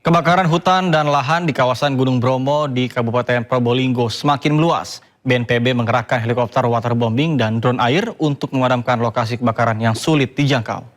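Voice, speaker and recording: A man reading scripted news as an anchor in a formal, measured announcer delivery. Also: medium pitch, fairly even intonation.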